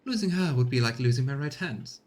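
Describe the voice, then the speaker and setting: A man's voice: mid-range voice, animated, a little fast, a little mellow, close to an everyday voice. A man voicing a courtly, self-assured female governor in a video game, speaking in formal, flowery and deferential phrases. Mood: gracious. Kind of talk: read-aloud game dialogue.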